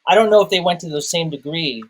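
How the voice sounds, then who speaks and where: A man in a casual film discussion, thinking aloud in a halting way and repeating words as he goes. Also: a little high. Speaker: film review show host.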